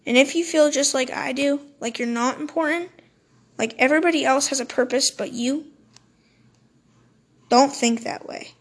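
A woman's voice: high voice, moderate pace, long pauses. A young woman in her teens hosting a solo podcast, talking earnestly and rambling, stumbling over words and swearing in self-mocking asides. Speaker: podcast host. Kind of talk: solo motivational podcast monologue.

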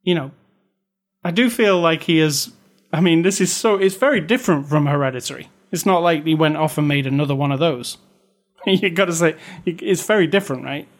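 A man's voice: a little high, brisk. A man co-hosting a casual film review podcast, chatty and opinionated, often restarting his sentences. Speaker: podcast co-host.

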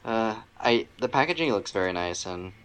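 A man with a fairly low voice, recorded with faint background noise. He co-hosts a podcast and talks about pop culture in a casual way. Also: expressive, moderate pace, fairly bright tone, poor audio. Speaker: podcast co-host.

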